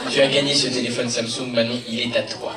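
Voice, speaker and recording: A man's voice: medium pitch, level tone, fast talker, very noisy. A man, a comedian, presenting a film and joking and teasing with the audience at a premiere. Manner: teasing, playful, addresses the crowd directly. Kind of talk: joking live address to a crowd. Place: large cinema auditorium. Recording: echoey hall, distant, loud crowd.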